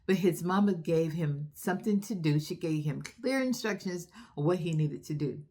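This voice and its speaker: A woman's voice: fairly low pitch, lively intonation, normal speed, dark tone. A woman hosting a storytime for young children, recapping a story in an encouraging, instructive way and putting questions to her young listeners.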